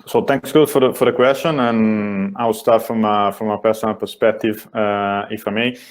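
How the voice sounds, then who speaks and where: A man with an Italian accent, a venture investor answering as a panelist in a webinar in a measured, thoughtful way. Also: lowish voice, fairly even intonation. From Italy.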